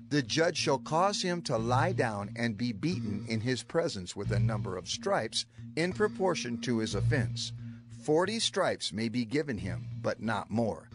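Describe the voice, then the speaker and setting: An actor playing a solemn, commanding old male lawgiver in a dramatized scripture reading, with measured declamation. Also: mid-range voice. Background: background music.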